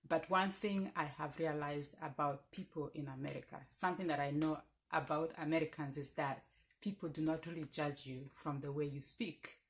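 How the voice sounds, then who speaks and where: A woman in her thirties from Uganda with a strong Lugbara accent, talking earnestly and reflectively in a personal vlog, pausing often with fillers. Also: deep voice, a bit bright. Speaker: vlogger. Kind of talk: casual personal talk to camera.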